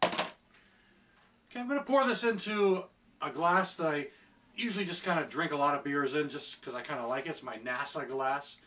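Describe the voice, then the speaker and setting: A man's voice: on the high side, moderate pace, a bit bright. Chatty middle-aged man, slightly distant mic. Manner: chatty, hesitant with many fillers. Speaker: beer reviewer. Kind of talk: casual review vlog. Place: small room at home, seated indoors.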